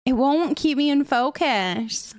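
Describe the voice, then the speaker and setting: High-voiced woman chatting casually on livestream. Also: lively intonation, slow, no echo. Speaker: livestream host. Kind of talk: casual livestream chat, greeting viewers and reading comments aloud.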